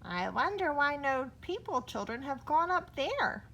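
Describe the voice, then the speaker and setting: Woman voicing a high-pitched, timid little boy monster. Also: big pitch swings, measured pace, pushed and bright, slight background noise, heavily acted. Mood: nervous. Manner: stammers when nervous. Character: timid young male monster, excitable and easily spooked.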